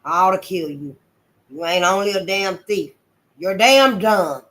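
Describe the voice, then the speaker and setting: A woman's voice: lowish voice, unhurried, a bit bright. A woman voicing a furious middle-aged plantation master who growls threats at a boy. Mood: growling. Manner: growling, menacing.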